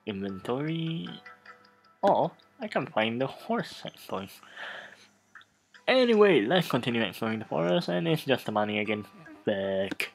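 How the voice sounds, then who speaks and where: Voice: medium pitch, big pitch swings, normal speed, gravelly, faint music behind. A let's play host commenting on a game and reading its text aloud in a theatrical, stumbling way.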